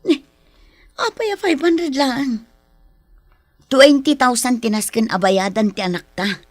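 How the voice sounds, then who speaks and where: A woman's voice: sits high, measured pace, no echo. An actress playing an exasperated farm wife and mother in a Philippine radio drama, scolding and pleading with her husband. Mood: worried, insistent.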